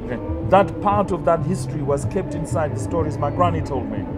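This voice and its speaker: Middle-aged man, fairly high voice, reflective, halting and repetitive phrasing, clear music behind. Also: lively intonation. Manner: halting, repeats words while searching for thoughts. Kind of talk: reflective spoken recollection. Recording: music mixed loudly under the voice.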